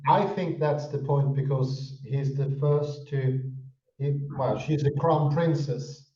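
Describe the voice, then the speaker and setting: A man's voice: medium pitch, slow, warm tone. A Swedish man in casual discussion, musing and repeating words as he thinks. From Sweden. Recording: distant, muffled, echoey.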